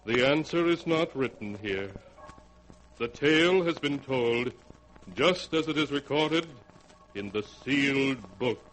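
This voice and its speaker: A man narrating a scripted 1940s radio horror anthology in a theatrical, ominous manner with deliberate phrasing. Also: mid-range voice, lively intonation. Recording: noisy vintage 1940s radio recording.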